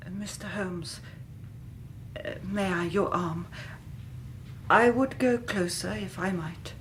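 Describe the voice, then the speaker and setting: An actress playing a shaken, genteel young Victorian woman in a radio drama, with an English accent and halting, formal, hushed delivery. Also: medium pitch, slight background noise, lightly acted. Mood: frail resolve.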